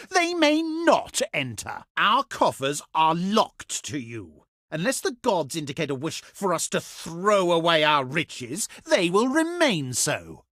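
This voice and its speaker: A pompous ancient Etruscan statesman for a strategy game, cultured and condescending. His formal, theatrical delivery swings widely in pitch with deliberate emphasis. The sound is dry, with no room around it. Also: a bit bright, no echo, exaggerated voice. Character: haughty ancient Etruscan male diplomat, pious, cultured and condescending. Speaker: faction diplomat in a strategy game. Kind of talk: theatrical scripted game voice acting.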